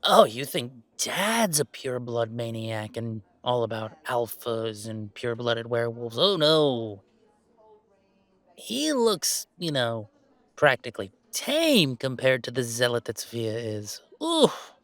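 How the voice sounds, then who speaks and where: A man playing a laid-back young werewolf gamer in an intimate audio roleplay, chatting in a wry, halting, casual way. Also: medium pitch, sing-song, a bit bright, dry recording, exaggerated voice. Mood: wry, exasperated. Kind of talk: intimate roleplay audio, naturalistic one-sided conversation.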